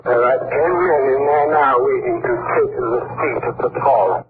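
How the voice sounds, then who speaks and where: An actor playing a courteous, death-obsessed old prison executioner in a grandiose, unctuous 1940s radio style. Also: male voice, mid-range voice, lively intonation, moderate pace, phone-quality sound, natural voice. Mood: eerily genial. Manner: grandiose, unctuous, lingering on words. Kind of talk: 1940s radio thriller acting. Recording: noisy, thin 1940s radio recording.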